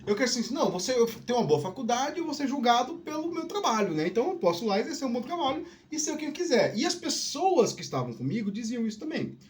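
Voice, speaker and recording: A man from Northeast Brazil with a softened Northeastern Brazilian accent, talking in a rambling casual solo monologue full of restarts and tag questions. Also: extremely high pitch, very animated, fast, few pauses. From Northeast Brazil (Piauí family). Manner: rambling, many restarts and "né?" tags. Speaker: podcast host.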